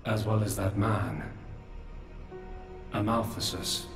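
Slow, deep, ancient godlike elder. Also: male voice. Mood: solemn, reflective. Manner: grave, measured, philosophical. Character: ancient, world-weary godlike old man, solemn and resigned. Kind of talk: dramatic video game cutscene acting. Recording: roomy echo, distant, music behind.

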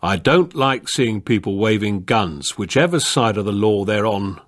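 An actor playing a kindly veteran police constable in a radio drama, calm and plain-spoken with a husky voice. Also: male voice, lowish voice, measured pace. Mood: reflective. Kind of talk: British radio drama acting and narration.

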